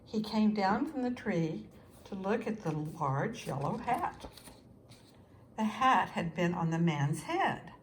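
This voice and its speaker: A woman reading a children's story aloud as scripted narration, expressive and with deliberate pauses for young listeners. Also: medium pitch, a little hiss or hum. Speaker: storybook reader.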